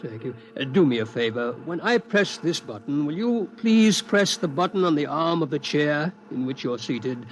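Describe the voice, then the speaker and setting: An actor playing a polite but stubborn Martian posing as a human inventor, speaking formally and measuredly in a 1950s radio drama. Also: male voice, fairly high pitch, expressive, moderate pace, few pauses, lightly acted. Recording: noisy vintage 1950s radio recording.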